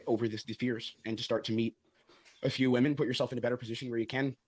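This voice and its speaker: A man's voice: mid-range voice, little pitch movement, fast, slightly rough. A man in his late twenties in a probing, conversational sales call, working as the closer. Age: late 20s.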